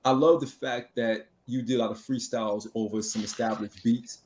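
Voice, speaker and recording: A man's voice: mid-range voice, fairly even intonation, normal speed, a little mellow, a little hiss or hum. A man co-hosting a podcast, easygoing and asking the guest questions. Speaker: podcast co-host. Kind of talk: casual interview chat.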